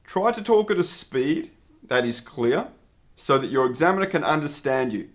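Clear-spoken man teaching. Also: a little high. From Australia. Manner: clear, measured, even-paced explaining. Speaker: English teacher. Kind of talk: scripted lesson to camera. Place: indoor studio with dark backdrop.

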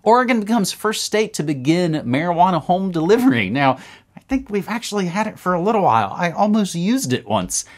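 A man in his thirties hosting a casual news roundup to camera from a room at home, animated and talking with his hands. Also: medium pitch. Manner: animated, talks with his hands, upbeat asides. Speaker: podcast host. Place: home room with record shelves.

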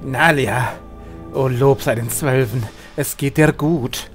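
A man's voice: medium pitch, fairly even intonation, slow pace, close to an everyday voice. A man playing a hunted, ruthless alchemist in a fantasy audio drama, speaking with curt urgency. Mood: relieved. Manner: urgent, brusque. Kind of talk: fan audio drama acting. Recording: music underneath.

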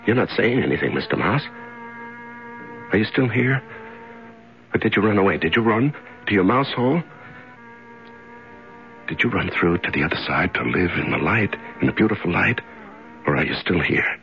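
An actor in a 1970s radio drama playing a weak, half-mad prisoner who talks haltingly and repetitively to himself in a tremulous, feeble voice. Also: male voice, low voice, big pitch swings, vocal fry, faint music behind, full character voice. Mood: tender pleading. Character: weak, half-mad male prisoner in darkness, desperate yet gentle. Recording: dull vintage radio recording.

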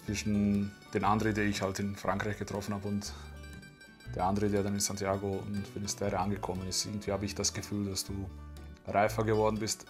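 A young man interviewing a friend in a casual, reflective, conversational way, seated at a table indoors. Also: fairly low pitch. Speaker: interviewer, vlog host. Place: small wood-panelled room indoors, at a table.

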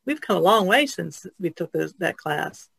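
Creaky-voiced woman in casual chat. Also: lowish voice, expressive, moderate pace, a bit bright, no echo. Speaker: artist, podcast guest.

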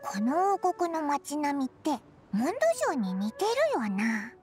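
Tiny childlike fairy sidekick, high and very lively, anime game with music behind. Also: female voice, unhurried. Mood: curious. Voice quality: squeaky, childlike. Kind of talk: Japanese anime game acting.

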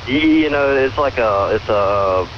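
Low-voiced male caller, noisy muffled radio. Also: unhurried. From southern United States. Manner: hesitant, polite, addressing host as sir. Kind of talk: casual phone-in conversation. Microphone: call replayed from a portable radio speaker. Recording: dull, noisy AM radio relay of a call.

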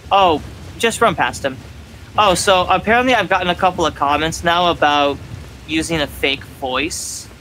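A young man with an American accent and a naturally high voice, casually coaching someone through a video game. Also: moderate pace, sharp tone, slight background noise, low-quality recording. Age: young adult. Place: home gaming room, indoors.

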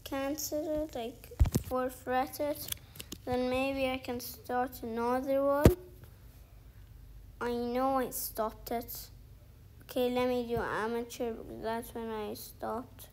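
A woman's voice: sits high, steady tone, takes it slowly, background noise. A young woman casually commentating her mobile football game, rambling and thinking aloud with many "like"s and restarts. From outside the featured countries. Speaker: gaming video creator.

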